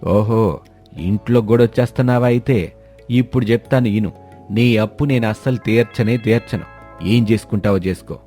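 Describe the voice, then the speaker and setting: A man's voice: fairly low pitch, normal speed, warm tone, natural voice. A man voicing a cunning village husband in a story, brazen, colloquial and dismissive. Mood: defiant. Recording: music behind the voice.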